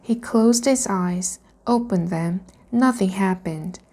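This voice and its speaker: A woman reading a children's storybook aloud in a deliberate way. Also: medium pitch, warm tone. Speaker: reader practicing reading aloud.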